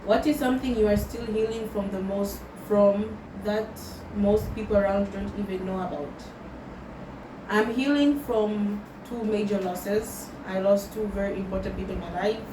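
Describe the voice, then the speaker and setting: A young woman from Tanzania, talking slowly and thoughtfully in a personal vlog and slipping Swahili words into her English. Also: medium pitch, fairly even intonation. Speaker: vlogger. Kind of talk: reflective personal vlog, answering questions. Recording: roomy sound from a distant mic with loud background noise.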